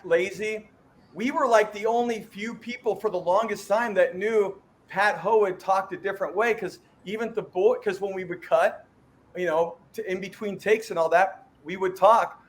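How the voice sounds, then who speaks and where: A man's voice: high voice, measured pace, slight background noise. A middle-aged man hosting an interview podcast, chatty and rambling with a joking streak. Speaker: podcast host. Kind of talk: casual interview chat.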